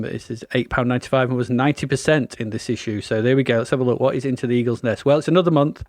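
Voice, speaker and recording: A man's voice: medium pitch, darkish tone, dry recording, studio quality. A man with a cold and a blocked nose, co-hosting a podcast in a chatty, meandering way. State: congested with a cold. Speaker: podcast co-host. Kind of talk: casual chat.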